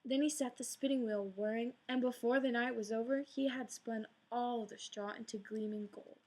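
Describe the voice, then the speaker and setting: A woman reads a fairy tale aloud as a storyteller, shaping each line with gentle drama. Her voice sits a touch higher than most women's. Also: fairly even intonation, moderate pace, low-quality recording. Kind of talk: read-aloud storybook narration.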